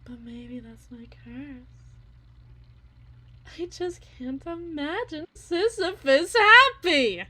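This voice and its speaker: A woman's voice: high-pitched, lively intonation, slow, pushed and bright, exaggerated voice. A woman playing a bitter, unhinged, anguished wife in a dramatic ASMR roleplay monologue, theatrical and moving between whispers and intense outbursts. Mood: resentful, sardonic.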